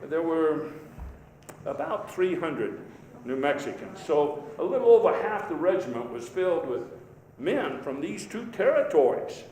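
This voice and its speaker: Man portraying genial old statesman, halting storyteller, folksy and wry, live speech, long hall reverb, faint background noise. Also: on the high side, big pitch swings, darkish tone. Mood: proud, reminiscing. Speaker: historical reenactor giving a speech. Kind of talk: live after-dinner speech with anecdotes. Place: large indoor hall before an audience. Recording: echoey hall, noisy live recording.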